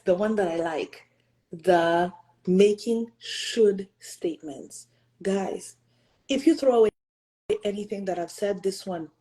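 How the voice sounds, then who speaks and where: Earnest woman with a mellow voice. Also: medium pitch, rough recording. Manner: earnest, emphatic, asks the audience rhetorical questions; switches into French and Haitian Creole mid-talk. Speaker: host. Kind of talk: motivational talk.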